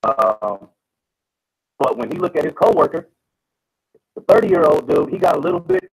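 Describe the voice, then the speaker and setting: An American man of about forty chatting casually in a colloquial, halting, animated way. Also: sits high, vocal fry, slightly rough, rough recording. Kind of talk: casual chat.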